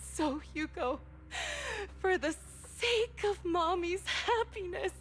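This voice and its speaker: A cruel, desperate mother in a game drama. Her high voice moves very slowly and deliberately, with faint music behind it. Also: expressive, heavily acted. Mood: bitter, coaxing. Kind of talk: dramatic video game voice acting.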